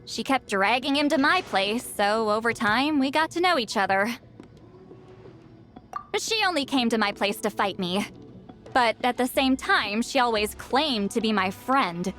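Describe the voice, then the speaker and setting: A woman's voice: fairly high pitch, lively intonation, a little slow, fairly bright tone, faint music behind. A woman voicing a blunt, grumbling, centuries-old shaman in a scripted video game. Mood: reminiscing, dry. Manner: brusque, grumbling, casual. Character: centuries-old female shaman, youthful-sounding, blunt and testy.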